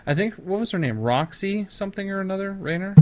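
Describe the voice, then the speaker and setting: A man's voice: sits high, animated, quick pace. A man hosting a casual podcast chat about films, speaking in an enthusiastic, effusive manner. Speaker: podcast host.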